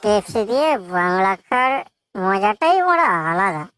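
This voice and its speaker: A woman's voice: mid-range voice, big pitch swings, moderate pace, close to an everyday voice. A woman voicing an opinionated, smug cartoon drinking buddy. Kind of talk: cartoon comedy dialogue. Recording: loud music behind the voice.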